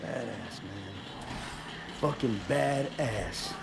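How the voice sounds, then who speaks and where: An actor playing a brash, foul-mouthed, joking soldier in a video game. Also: male voice, lowish voice, extremely slow, vocal fry, full character voice. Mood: impressed. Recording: loud music and battle effects.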